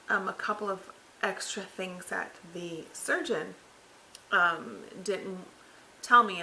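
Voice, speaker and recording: A woman in her thirties with a raspy voice that cracks at times, sounding tired after throat surgery, giving a rambling, casual update full of fillers from her living room couch. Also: mid-range voice, sharp tone, a little hiss or hum, poor audio. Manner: rambling, filler-heavy, self-correcting. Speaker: vlogger. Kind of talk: casual health update vlog.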